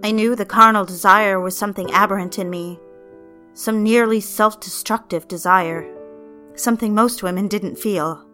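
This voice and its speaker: A woman's voice: medium pitch, fairly even intonation, normal speed, sharp tone, soft background music. Woman narrating a confessional story. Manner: confiding, addresses the reader directly. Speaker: narrator and lead character. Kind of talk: first-person dramatic story narration.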